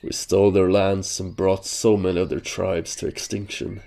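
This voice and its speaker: A man plays a wounded, proud skyborn bird warrior in a fantasy audio drama. His lowish voice is gravelly and strained with pain, weakened and heavy with regret. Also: little pitch movement, moderate pace, dark tone. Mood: weary regret.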